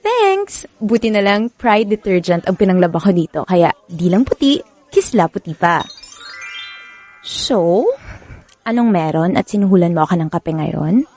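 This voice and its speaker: A woman's voice: fairly low pitch, animated, a little slow, a little mellow, faint music behind. A woman with a Filipino accent playing a breezy, teasing young best friend in a radio drama. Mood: playful, curious.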